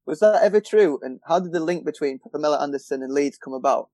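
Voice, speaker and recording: A man's voice: fairly high pitch, fast, darkish tone. A man hosting a fan podcast, steadily reading listener questions from a script. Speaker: podcast host.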